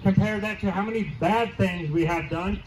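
A man's voice: sits high, normal speed, background noise. Man preaching outdoors over a PA. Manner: conversational preaching, asks the crowd questions, repeats key phrases. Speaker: teaching pastor. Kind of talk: outdoor sermon. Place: church lawn outdoors, congregation under a tent. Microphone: handheld mic through PA speakers, filmed from afar. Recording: distant, roomy outdoor PA sound.

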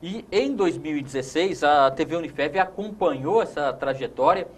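A man's voice: on the high side, lively intonation, moderate pace, husky. A man in his thirties with a Brazilian accent, hosting a conversational sports talk show in a studio. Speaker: host.

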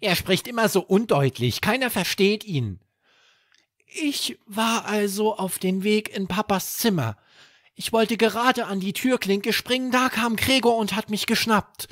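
A man's voice: high-pitched, measured pace, fairly bright tone, dry recording, clean studio sound, voice pushed up. A man voicing a small, earnest, secretive stuffed toy in a storybook tale. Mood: explaining.

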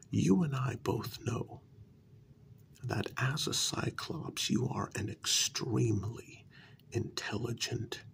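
Slow, very creaky soft-spoken man, often whispering. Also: fairly low pitch, a little hiss or hum. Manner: coaxing, deliberate, halting phrasing. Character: persuasive, placating talent agent to supernatural creatures. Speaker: ASMR role-play performer as a talent agent.

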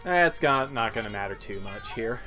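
A man's voice: mid-range voice, lively intonation, normal speed, sharp tone, soft background music. A man hosting a gameplay video, giving casual, offhand and joking commentary.

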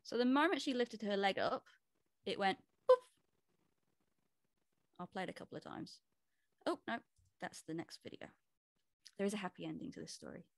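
A woman's voice: mid-range voice, very animated, moderate pace, gravelly, long pauses, dry recording, clean studio sound. A woman, a personal trainer giving a casual talk over a video call and acting out little quoted asides. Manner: chatty, explains with quoted asides.

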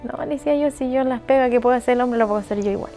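A woman's voice: fairly high pitch, racing, mellow, soft background music. A woman in her thirties from Argentina with an Argentine accent, a mechanic chatting casually about her work in an interview and acting out what people said to her. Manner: chatty, laughs easily, acts out quotes. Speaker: mechanic and business owner. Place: open shed outdoors at her home.

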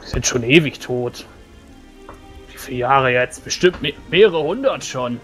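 A man's voice: medium pitch, expressive, unhurried, a bit bright, faint music behind. A man playing a blunt, knowing adventurer who talks colloquially in a tabletop roleplay. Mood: recalling.

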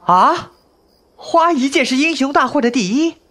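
A woman's voice: high-pitched, expressive, normal speed, fairly bright tone. A woman playing a cheeky, boastful young man in a Chinese wuxia audio drama, with playful, teasing delivery. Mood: eager, insistent.

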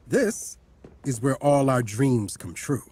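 A man's voice: mid-range voice, sing-song, slow pace, darkish tone, husky, full character voice. A man voicing an enthusiastic, scheming young crime entrepreneur in a video game, casual and eager. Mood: confident.

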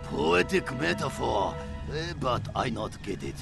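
An actor playing an excitable, cowardly but boastful ninja guard in a video game, with a gravelly voice, Japanese accent and broken English. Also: male voice, mid-range voice, a little slow, over music, full character voice. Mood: puzzled. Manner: broken English, exaggerated delivery. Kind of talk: video game cutscene acting.

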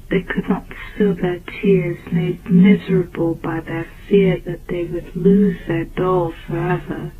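Slow woman storyteller on muffled tape. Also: on the low side. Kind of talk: scripted narration of a dark children's tale. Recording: muffled, noisy old tape, phone-like band.

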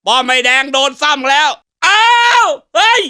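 Shrill, cocky game-announcer tough guy. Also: expressive, moderate pace, bright, forceful tone, exaggerated voice. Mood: excited, shouting. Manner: boastful, mocking, rustic slangy swagger. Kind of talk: exaggerated comic game announcer taunts.

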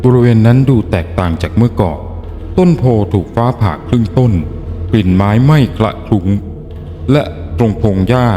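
A man's voice: medium pitch, lively intonation, normal speed, dark tone, slight background noise. A man narrating a scripted, dramatic audio story. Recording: long reverb, music bed. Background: faint music.

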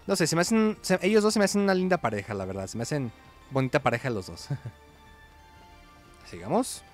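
A man's voice: fairly high pitch, expressive, fairly quick. A man giving informal let's play commentary, reading game dialogue aloud and sometimes correcting himself. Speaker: gaming YouTuber. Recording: game music behind the voice. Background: video game music.

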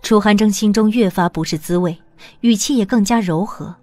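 A woman narrating an audio drama in an even, measured storytelling voice. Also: mid-range voice, no echo, faint music behind.

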